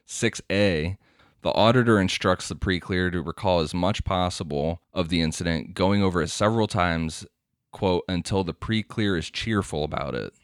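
A man's voice: low-pitched, moderate pace, no room sound, studio quality. A man co-hosting a casual podcast, explaining a topic from notes and laughing often. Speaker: podcast co-host. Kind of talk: casual podcast chat, reading quotes aloud.

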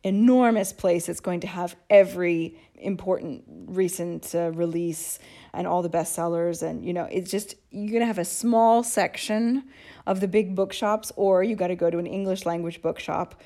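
A woman's voice: fairly low pitch, normal speed, mellow. A woman co-hosting a podcast, talking casually and thoughtfully, often restarting and musing aloud. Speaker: podcast co-host. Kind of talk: casual chat.

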